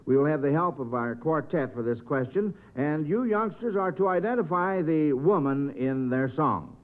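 A man hosting an old-time radio quiz show, addressing children in an animated, folksy, encouraging way. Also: on the high side, normal speed. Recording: old radio broadcast, thin phone-like band.

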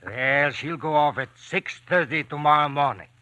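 Needling underworld bomb-maker, sharp voice. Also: male voice, fairly high pitch, normal speed. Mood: matter-of-fact. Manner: colloquial, teasing, tough-guy talk. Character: seasoned, needling criminal bomb-maker, amused and unhurried. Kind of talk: old-time radio drama acting.

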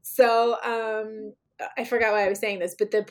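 Woman guest, slightly raspy, rambling. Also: a little high, little pitch movement, normal speed. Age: adult, mother of children. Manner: rambling, with many fillers and restarts. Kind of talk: casual interview conversation.

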